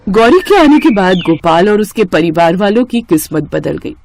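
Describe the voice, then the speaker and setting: A woman's voice: mid-range voice, sing-song, normal speed, darkish tone, slight background noise. A woman reading scripted narration for a moral story as its narrator.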